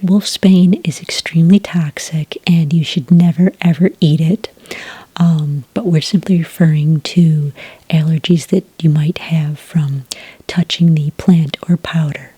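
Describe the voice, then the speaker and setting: A woman speaks in a soft, breathy whisper, playing a friendly clerk in an ASMR roleplay. Her voice is low and mellow, recorded very dry. She explains each step in a gentle, unhurried customer-service manner. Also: steady tone, no room sound. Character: friendly female registration clerk for werewolf hunters. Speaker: ASMR roleplayer as a licensing associate.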